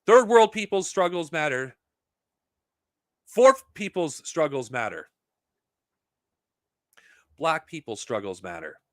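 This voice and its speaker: A man's voice: on the high side, big pitch swings, slow pace, long pauses, dry recording. Heated, profane man, dry close sound. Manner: heated, profane, rambling with restarts. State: losing his voice. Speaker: podcast host. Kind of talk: unscripted monologue to viewers.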